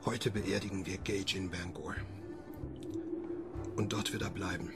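A man's voice: low voice, steady tone, a little slow, over loud music. An actor playing a troubled, grieving father in an audio drama, speaking and narrating sombrely. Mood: weary, solemn. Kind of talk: German radio drama acting and narration.

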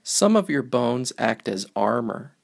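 A man reads a children's picture book aloud in a very creaky, gravelly voice. His pitch swings in a lively way as he moves through the simple lines, and he takes his time over each phrase. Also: measured pace.

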